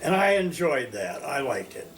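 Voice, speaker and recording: A man of one hundred from Indiana, recalling his life as a teacher in a slow, storytelling interview. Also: soft background music, poor audio. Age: 100s. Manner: slow, storytelling, animated pitch swings. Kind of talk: reminiscing interview.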